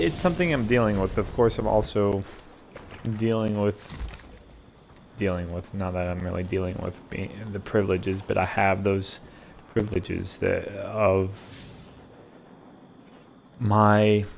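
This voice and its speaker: A man from America hosting a solo vlog in a casual, reflective way, halting and repeating words as he searches for phrasing. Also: fairly low pitch, vocal fry, a little hiss or hum. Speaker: host of a solo vlog and podcast. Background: video game sound and music.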